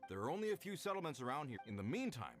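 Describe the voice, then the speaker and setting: A man's voice: mid-range voice, big pitch swings, racing, faint music behind, lightly acted. A man playing a businesslike, plain-spoken leader of workmen in a video game. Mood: concerned, resolute. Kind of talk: video game character acting.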